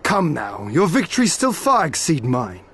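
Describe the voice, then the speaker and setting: A young man acting as a noble exiled warrior lord in a video game, earnest and teasing, with formal, measured, archaic phrasing. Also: on the high side, huge pitch swings, fairly bright tone, slightly rough, heavily acted. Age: young adult.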